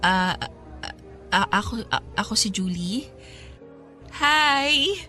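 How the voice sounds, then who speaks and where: A woman's voice: mid-range voice, slow pace, faint music behind. A woman with a Filipino accent acting a smitten, cheeky young photography student who gets flustered in a radio drama. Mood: flustered, protesting. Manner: expressive, stammering when flustered.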